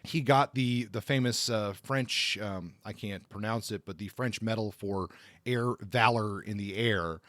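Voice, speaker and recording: A man hosting a podcast, narrating informally in a halting, conversational way. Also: on the low side, fairly bright tone, no echo, clean studio sound. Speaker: podcast host.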